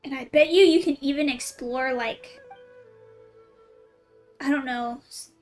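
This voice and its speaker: A woman's voice: high voice, a little slow, darkish tone, faint music behind. A woman streaming a video game, chatting casually as she plays. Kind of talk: casual chat over gameplay.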